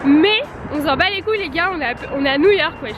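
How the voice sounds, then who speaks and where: A young woman with a head cold talks excitedly into a handheld camera, her voice high and bright. She chatters in a loose, slangy vlog style. Heavy traffic and city noise roar behind her on the street. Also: fast, muffled recording. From Paris, France. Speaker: travel vlogger. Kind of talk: casual vlog talking to camera. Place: outdoors on busy city streets. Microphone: handheld camera mic.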